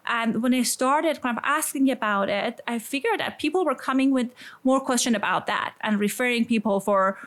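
A woman with a Persian accent, a psychologist and sex therapist, answering interview questions thoughtfully, often pausing with "kind of" and "like". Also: fairly high pitch, fairly bright tone. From Iran. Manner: thoughtful, many fillers like "kind of" and "like".

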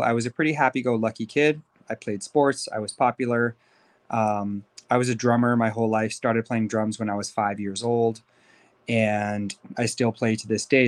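American man, thirty, candid podcast guest. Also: medium pitch. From Los Angeles, United States. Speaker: podcast guest, life coach. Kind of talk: conversational personal life story.

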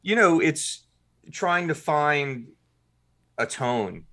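A man's voice: on the high side, animated, very slow, sharp tone. A man guest on a podcast, a writer and producer, chatting casually and rambling with many fillers and restarts.

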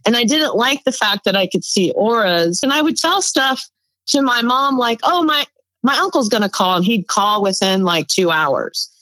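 A woman's voice: a little high, normal speed, no echo, rough recording. A middle-aged woman from New York City whose first language is Spanish, telling stories as a guest in a casual interview. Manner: chatty storyteller, lively laughs. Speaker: guest psychic.

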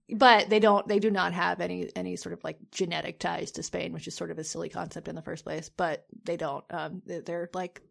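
A woman's voice: fairly low pitch, vocal fry. A woman co-hosting a podcast in casual chat, rambling with many fillers and restarts. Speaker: podcast co-host.

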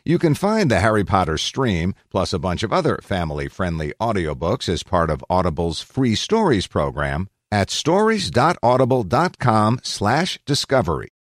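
A man's voice: fairly low pitch, sing-song, moderate pace, hardly any pauses, dry recording, studio quality. A man reading scripted tech news narration as a podcast narrator.

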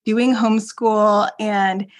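A woman's voice: medium pitch, flat delivery, slow pace. A woman co-hosting a podcast in a casual chat, talking freely with fillers, restarts and frequent laughs. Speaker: podcast co-host.

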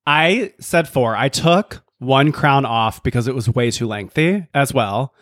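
A man's voice: mid-range voice, expressive, unhurried, a bit bright, no echo. Easygoing man co-host, dry sound. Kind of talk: casual podcast chat.

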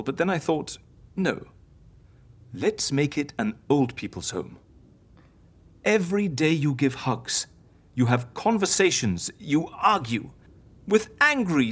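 An eccentric, theatrical woman healer, with a low, husky voice that swings wildly up and down. She coaxes playfully and persuasively, in audio drama style. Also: heavily acted. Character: eccentric, theatrical female healer, coaxing and irrepressibly cheerful.

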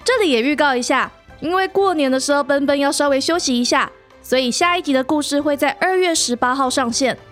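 High-pitched Taiwanese woman podcast host. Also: fairly quick, soft background music. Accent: Taiwanese Mandarin. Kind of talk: casual, cheerful podcast chat.

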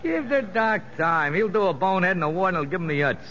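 An actor playing a sly, streetwise convict boss with slangy, mock-courteous talk in a 1950s radio drama. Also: male voice, sits high, big pitch swings, fast talker, a bit bright. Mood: mocking, smug. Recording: dull, noisy 1950s radio recording.

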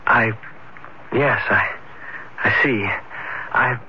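An actor in an old radio drama playing a genial, worldly friend, coaxing and concerned, with some hesitant repetition. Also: male voice, mid-range voice, extremely slow, bright, forceful tone, a little hiss or hum, exaggerated voice. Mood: troubled, pitying. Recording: dull vintage radio broadcast.

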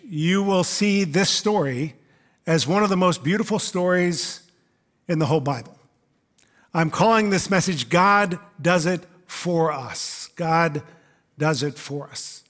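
A man's voice: high-pitched, fairly bright tone. A male pastor, who says he is losing his voice, gives a casual, conversational sermon with many fillers.

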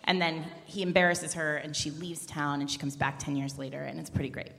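A woman with a rather low, gravelly, creaky voice recommends books in casual conversation. She is speaking on a live podcast panel, and the hall adds long reverb. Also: steady tone, brisk, a bit bright. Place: large room with a live audience, indoors.

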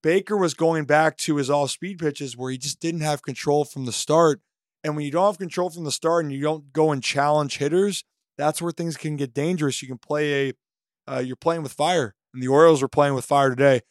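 A man co-hosting a sports podcast, his voice slightly hoarse, talking through pitching stats and game analysis in animated bursts. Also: fairly quick, no room sound, clean studio sound. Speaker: co-host.

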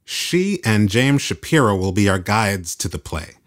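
Low-voiced male radio host. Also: very animated, a little slow, a bit bright. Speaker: host, writer and theater critic. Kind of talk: scripted radio narration and interviewing.

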